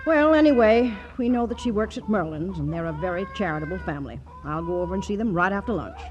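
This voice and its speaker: Woman playing prim matron, formal, patronising, disbelieving, swooping pitch, dull old radio sound. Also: lively intonation, fast, lightly acted. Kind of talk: 1940s radio comedy acting. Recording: dull, faintly noisy 1940s radio recording.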